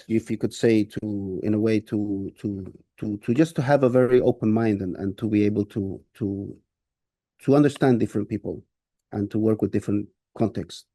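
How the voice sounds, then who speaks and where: A man's voice: on the low side, mellow, dry recording. A man in his fifties from Chile, a guest artist answering interview questions thoughtfully, with many restarts and fillers. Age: 50s.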